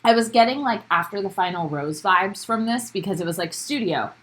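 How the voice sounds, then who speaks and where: A woman's voice: medium pitch, animated, brisk, fairly bright tone. Young woman, co-host, casual chat, upbeat, laughs a lot, home bedroom. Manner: upbeat, greets chat viewers by name, laughs often. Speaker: podcast co-host. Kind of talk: casual recap chat, live stream.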